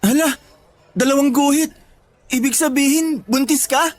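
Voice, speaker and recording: A man's voice: very high-pitched, measured pace, dry recording. An actor playing a playful, sweet-talking boyfriend who is devoted to his partner, in a radio drama. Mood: overjoyed. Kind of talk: Tagalog radio drama acting. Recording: music bed under dialogue.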